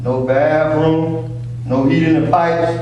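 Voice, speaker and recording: Man playing crude Southern bully, stage drama, slow taunting drawl, far from mic, heavy echo. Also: on the high side, steady tone, darkish tone, highs cut off. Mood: menacing taunting. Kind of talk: staged play reading. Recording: echoey hall, distant mic, faint noise.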